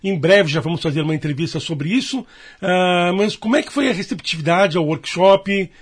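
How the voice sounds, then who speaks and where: A man's voice: high voice, moderate pace, breathy edge. A man, hosting a live broadcast interview. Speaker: radio programme host.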